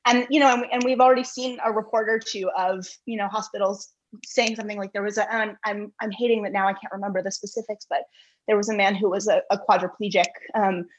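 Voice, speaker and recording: A woman guest writer giving earnest, reflective interview answers in halting, carefully built phrases. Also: mid-range voice, steady tone, lo-fi sound, a little hiss or hum.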